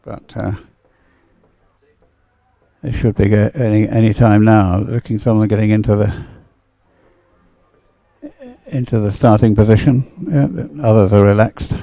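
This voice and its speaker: A man giving live, unscripted sports commentary as a race commentator, with a halting, rambling delivery full of hesitation. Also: on the low side, fairly even intonation, vocal fry, dark tone, no echo.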